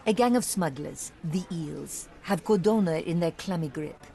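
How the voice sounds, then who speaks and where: A teasing newspaper writer. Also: female voice, on the low side, normal speed, creaky, mellow. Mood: businesslike. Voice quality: teasing, theatrical. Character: teasing young woman journalist, playful and sly.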